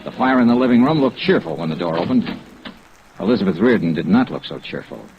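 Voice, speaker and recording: Tough male investigator, vintage radio detective show. Lowish, terse, businesslike; muffled old-time sound. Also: very animated, quick pace, background noise. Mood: clipped, businesslike. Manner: clipped, hard-boiled, matter-of-fact narration. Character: tough, dogged insurance investigator narrating his case. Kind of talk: 1940s-50s radio detective drama acting. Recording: dull vintage radio recording.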